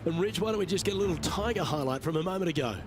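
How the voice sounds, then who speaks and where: A man's voice: a little high, animated, very fast, over music, lightly acted. A man playing a genial, composed lead golf announcer in a video game, commentating conversationally with understatement and tag questions.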